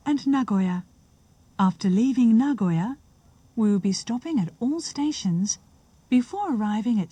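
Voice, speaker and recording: Mellow lady train announcer, slightly high voice, bit breathy, formal and careful. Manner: formal, measured, carefully enunciated. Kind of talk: scripted onboard train announcement.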